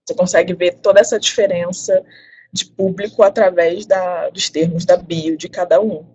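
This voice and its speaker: A woman demonstrating a software platform on a webinar screen share, reading out lists in an explanatory, casual way. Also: fairly low pitch, steady tone, moderate pace. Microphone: video call. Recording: dull lo-fi audio.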